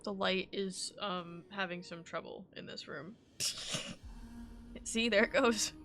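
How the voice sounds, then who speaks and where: A woman streamer with a very bright, forward voice. She comments casually and animatedly on her game, with faint music behind her. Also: medium pitch, slow pace. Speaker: livestreamer.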